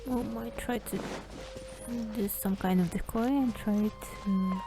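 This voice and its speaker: A woman's voice: medium pitch, slow pace, poor audio. A woman gamer giving casual let's play commentary, musing aloud tentatively. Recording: game music loud behind the voice.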